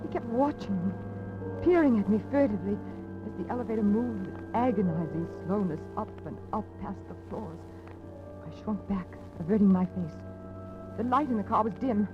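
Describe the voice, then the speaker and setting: A woman's voice: mid-range voice, expressive, normal speed, over music, close to an everyday voice. An actress playing a frightened young woman who speaks anxiously and stammers in a 1940s radio drama, heard through a dull lo-fi broadcast recording. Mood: fearful.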